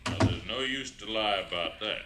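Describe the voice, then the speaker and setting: An actor playing a folksy, stern, self-assured American small-town police chief in a 1950s radio drama. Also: male voice, fairly low pitch, moderate pace, heavy background noise, natural voice. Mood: grimly certain.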